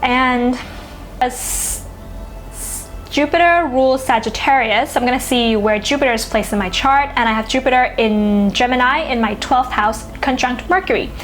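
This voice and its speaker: A woman's voice: fairly high pitch, moderate pace, a bit bright, soft background music. A woman whose first language is Thai, an astrologer musing in casual talk to camera, full of "you know" and "like".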